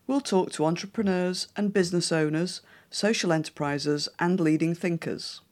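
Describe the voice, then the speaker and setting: A woman hosting a podcast, reading a scripted intro with an upbeat, measured delivery, then opening an interview. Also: on the low side, a little mellow, no echo. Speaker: podcast host.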